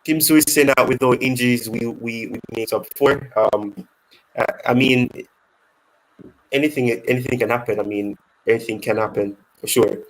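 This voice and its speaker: A man hosts a casual football fan live stream, reading questions from the chat aloud. He rambles and restarts his sentences often. His voice sits at a middle pitch. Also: steady tone, normal speed, rough recording.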